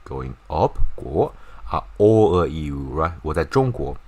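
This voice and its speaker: Male language teacher, native Chinese with Chinese accent. Low-pitched, unhurried, informal explanations with drawn-out, repeated example words. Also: very animated, darkish tone, slightly rough. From China. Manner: repeats and slowly stretches words to demonstrate pronunciation.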